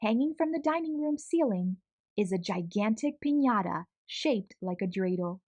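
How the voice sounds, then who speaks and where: A young adult woman reading a children's picture book aloud in an expressive, animated way. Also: mid-range voice, normal speed, no echo. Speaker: storyteller.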